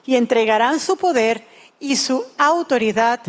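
Woman, somewhat high pitch, consecutive sermon interpretation, short segments. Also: measured pace.

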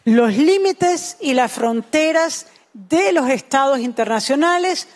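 A woman's voice: high-pitched, animated, slow pace. A woman interpreting a sermon, delivering it consecutively in short phrases.

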